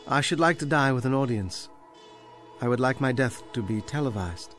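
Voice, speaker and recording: A man's voice: medium pitch, expressive, a bit bright, soft background music, natural voice. An actor as a wry, dignified condemned bookish man. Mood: calm, defiant. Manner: theatrical, measured, literary recitation. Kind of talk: radio drama acting.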